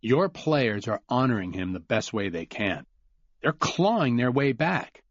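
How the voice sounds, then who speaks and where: A man's voice: mid-range voice, big pitch swings, measured pace, no echo, lo-fi sound. A man voicing a blunt, defiant father with a wry, snarling edge, in an audiobook.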